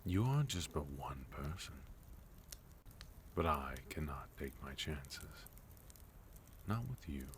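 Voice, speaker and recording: Male actor as sophisticated villain leader for ASMR roleplay: extremely low, unhurried, formal, quietly threatening, faint noise behind. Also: very animated, full character voice. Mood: calmly guarded. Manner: formal, polite, measured, with deliberate pauses. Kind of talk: ASMR roleplay, intimate second-person acting.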